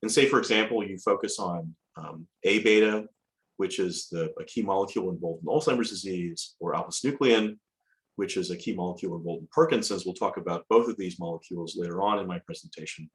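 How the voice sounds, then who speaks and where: A man with a cold, sounding raspy and a bit hoarse, giving a measured academic lecture over a video call, with frequent ums and restarts. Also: sits low. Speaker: guest lecturer.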